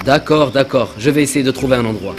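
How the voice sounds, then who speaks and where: A man voicing a greedy, sly, grumbling traveller in an animated cartoon, whining and exclaiming as he goes. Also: medium pitch, quick pace, close to an everyday voice. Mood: grumbling, weary. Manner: exclamatory, grumbling, panting and moaning. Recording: music under the voice. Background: music.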